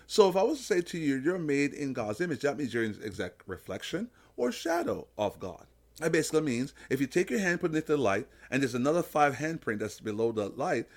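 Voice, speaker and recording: Animated motivational preacher man. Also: a little high. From Jamaica. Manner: emphatic, preacher-like, illustrates with simple analogies. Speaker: podcast host, motivational speaker. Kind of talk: motivational faith talk.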